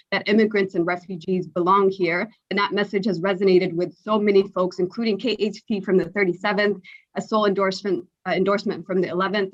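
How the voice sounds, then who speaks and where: A woman from Somalia giving a short campaign speech as a council candidate, steady and earnest. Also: mid-range voice, lo-fi sound. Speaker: political candidate.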